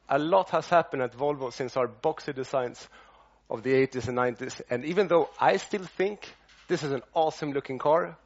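A man's voice: on the high side, highs cut off. A man with a Swedish accent giving a presentation as a design lead, explaining things step by step with a light joking touch. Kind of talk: presentation to an audience.

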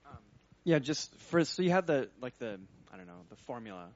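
A man's voice: medium pitch, sing-song, a little fast, darkish tone. A man in a workshop audience talks through his research work in a middle-range voice. He rambles and hesitates, circling his point with fillers. The sound is dull and lo-fi. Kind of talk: casual workshop Q&A.

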